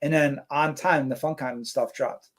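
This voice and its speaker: A man's voice: on the high side, a little slow. Laid-back man chatting on a live stream. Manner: relaxed, rambling, many fillers. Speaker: co-host reading live chat. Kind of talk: casual live-stream chat.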